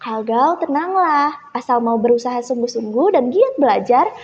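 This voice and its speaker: A kind, wise village woman, acted out by a female storyteller speaks in a high, gentle, reassuring way. She belongs to a children's tale, heard in a muffled recording with long hall reverb. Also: animated, normal speed, slight background noise.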